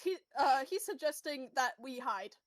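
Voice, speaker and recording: A woman playing a tabletop roleplaying game, speaking casually in a halting, stammering way as she acts and explains her character. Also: sits high, lively intonation, no room sound.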